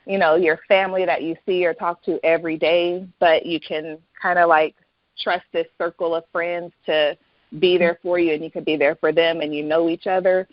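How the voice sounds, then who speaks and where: Low-voiced woman, muffled sound. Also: level tone, moderate pace, no echo. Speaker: guest author. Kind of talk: reading aloud from own novel, then interview chat.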